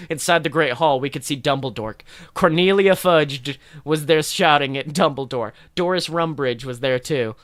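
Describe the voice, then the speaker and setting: A man's voice: fairly high pitch, normal speed, hardly any pauses, a bit bright, dry recording. A man hosting a podcast, reading fan fiction casually and theatrically with sweary, irreverent asides. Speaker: podcast host.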